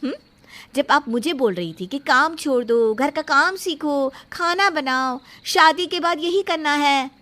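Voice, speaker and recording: A woman's voice: high voice, unhurried, pushed and bright. A woman playing an outspoken, self-respecting young bride-to-be in a story drama, speaking firmly and indignantly. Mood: angry, accusing. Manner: firm, indignant, emphatic. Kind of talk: dramatised Hindi moral story acting.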